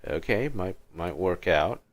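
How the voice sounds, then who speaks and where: Very deep-voiced man muttering over gameplay. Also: animated, measured pace, slight background noise. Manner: muttering, thinking aloud. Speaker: game streamer. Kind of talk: casual livestream gameplay commentary.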